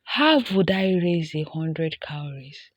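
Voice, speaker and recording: Burdened, resolute village father, read by a female storyteller, earnest folktale delivery, slow. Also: low voice, animated, exaggerated voice. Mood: worried resolve.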